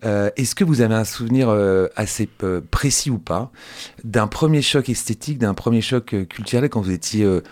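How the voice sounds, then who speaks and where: A man hosting a conversational arts interview, speaking in halting, digressive phrases as he feels his way to each question. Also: lowish voice, a little mellow. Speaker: radio show host interviewing guests.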